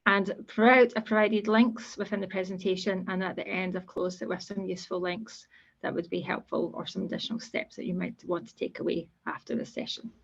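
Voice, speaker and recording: A woman with a Scottish accent giving an online careers presentation as a guest speaker, explaining in a steady, informal way. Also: mid-range voice, brisk, gravelly, husky. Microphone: video call. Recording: dull lo-fi call audio.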